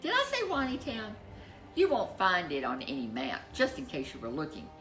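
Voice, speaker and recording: A woman storyteller reading a children's tale in a playful, expressive way with drawn-out emphasis. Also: on the low side, reverberant, faint music behind. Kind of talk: animated scripted children's story narration.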